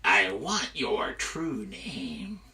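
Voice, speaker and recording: Guy doing a menacing giant from a myth, bossy and taunting, bright forceful voice, bit far from the mic. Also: fairly low pitch, very animated, extremely slow, heavily acted. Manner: taunting, commanding. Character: menacing, wheedling giant eagle turned giant man. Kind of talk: live oral storytelling of a myth.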